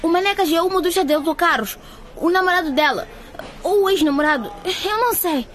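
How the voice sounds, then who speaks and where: A woman's voice: very high voice, fairly quick. An actress playing a worried, loyal teenage schoolgirl in a radio drama, speaking in an earnest way. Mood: urgent, anxious. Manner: earnest, urgent.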